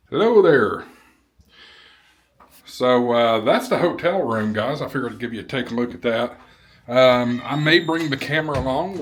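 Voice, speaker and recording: Man, casual vlogger, easygoing, hesitant, repetitive phrasing, hotel room, handheld camera. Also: mid-range voice. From American South. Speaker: vlogger and ham radio YouTuber. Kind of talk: casual vlog chat.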